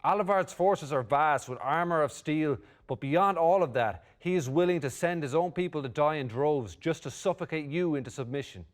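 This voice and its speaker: A man playing a blunt, confident envoy in a fantasy table read, speaking in measured, formal speeches. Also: fairly high pitch, close to an everyday voice. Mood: stern. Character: confident, flirtatious male envoy, blunt and unflinching.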